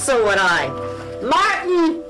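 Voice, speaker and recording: Woman playing a polite, higher-voiced small-town wife. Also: very animated, slow pace, exaggerated voice, rough recording. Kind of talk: radio drama spoof acting. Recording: music behind the voice.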